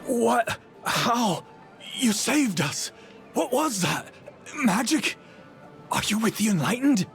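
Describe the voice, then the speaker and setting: A man playing an excitable, awestruck gate guard in a fantasy video game. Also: sits high, measured pace, few pauses, faint music behind. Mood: astonished. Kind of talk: video game dialogue acting.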